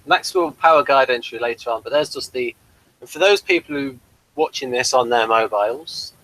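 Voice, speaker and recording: A man hosting a casual review show, explaining things in a halting, conversational way. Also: mid-range voice, fairly bright tone, low-quality recording.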